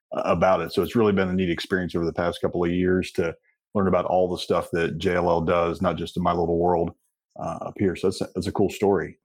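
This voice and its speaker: A man hosting a podcast interview in a casual, bantering way with loosely phrased questions. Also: low voice.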